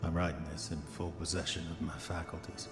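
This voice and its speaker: Deep-voiced, haunted old-time diarist. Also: male voice, little pitch movement. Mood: defiant, strained. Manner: formal, measured, insistent. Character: troubled early-1900s man, proudly rational, writing his diary. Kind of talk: dramatic first-person diary reading in audio horror drama. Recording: roomy echoing reverb, music underneath. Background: music.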